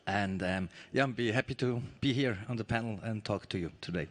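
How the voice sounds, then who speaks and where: A film commission director giving a brief, friendly self-introduction on a panel. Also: male voice, fairly low pitch, fairly quick. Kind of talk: panel talk.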